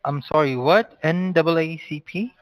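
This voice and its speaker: A man's voice: fairly high pitch, normal speed, dry recording. A man working as a phone agent, explaining procedures formally and insistently in call-center style. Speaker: phone scammer posing as support agent.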